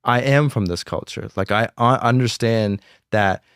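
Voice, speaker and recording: A man's voice: lowish voice, a bit bright, smooth, dry recording, clean studio sound. A young American man, a fashion designer, talking about his brand in a casual interview, packing his sentences with "like" and "you know". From United States. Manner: fast, filler-heavy talk with many "like"s.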